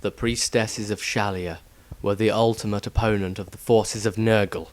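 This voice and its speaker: A man reading a fantasy story aloud as a solemn, measured narrator in a dramatic scripted style. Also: fairly low pitch, steady tone. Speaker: author reading own fiction aloud.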